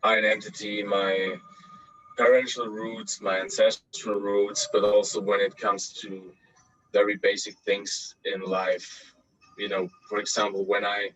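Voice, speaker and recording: A man's voice: fairly low pitch, fairly bright tone, muffled recording. A man from Austria in his thirties, a journalist answering interview questions thoughtfully, with many fillers and restarts, over a video call. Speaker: guest journalist.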